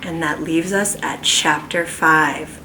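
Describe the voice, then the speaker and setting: A woman who teaches kindergarten, reading a children's book aloud at home in an animated, expressive way. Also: low voice, background noise. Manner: animated, slow and expressive storytelling.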